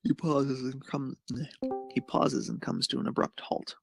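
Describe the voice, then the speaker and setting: A man's voice: medium pitch, animated, unhurried, vocal fry, faint music behind. A man reading scripted narration of a romantic fan fiction story.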